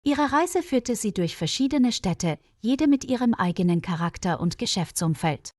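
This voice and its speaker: A woman reading a scripted audio story for language learners in a measured, clearly phrased storytelling manner. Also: fairly high pitch, sing-song, darkish tone, no echo, clean studio sound. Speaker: narrator of German-learning stories.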